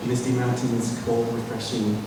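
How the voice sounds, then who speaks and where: A man's voice: mid-range voice, level tone, moderate pace, very noisy. A man hosting a convention panel, talking loosely with many fillers. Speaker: panel host. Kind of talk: casual presentation. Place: large convention hall. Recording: echoey hall, distant mic.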